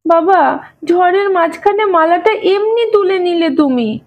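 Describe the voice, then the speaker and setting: Woman voicing a high-pitched, indignant little girl. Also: measured pace, dull audio. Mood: puzzled, insistent. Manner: insistent, accusing, tearful protest. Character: indignant, stubborn little girl accusing her father.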